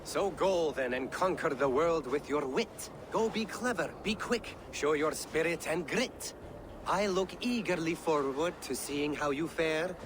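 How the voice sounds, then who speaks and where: A man's voice: a little high, unhurried, noisy background, close to an everyday voice. A man playing a jovial, witty Viking poet in a video game, theatrical and lilting. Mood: theatrical, rhyming. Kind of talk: theatrical video game acting, declaiming rhymes.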